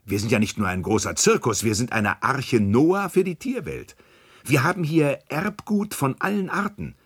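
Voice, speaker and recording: An actor in a German radio play, playing a boastful, scheming animal park director, effusive and emphatic, with hesitations and repeated words. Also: male voice, mid-range voice, very animated, normal speed, slightly rough.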